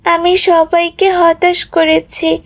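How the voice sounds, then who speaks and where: A woman voicing an earnest, repentant young schoolgirl in an animated moral story. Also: very high-pitched, slow pace, exaggerated voice. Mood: remorseful. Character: Mili, repentant young schoolgirl who made viral videos.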